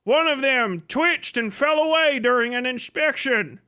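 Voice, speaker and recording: A man voicing a solemn old-time chronicler, telling a wretched legend in a deliberate, pompous, mock-grand comedy style. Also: very high voice, animated, bright, forceful tone, clear, resonant tone, full character voice.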